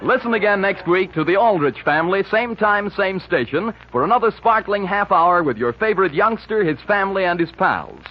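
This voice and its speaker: American man, 1940s commercial announcer, polished, high voice, very lively intonation, old radio sound. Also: moderate pace, few pauses. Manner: polished, promotional. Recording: dull, noisy 1940s radio recording.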